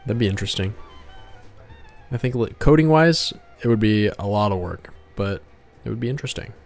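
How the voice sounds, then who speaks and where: Low-pitched man chatting casually on a livestream. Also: lively intonation, moderate pace, gravelly, soft background music. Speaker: game developer and artist hosting a livestream. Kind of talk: casual livestream chat while drawing.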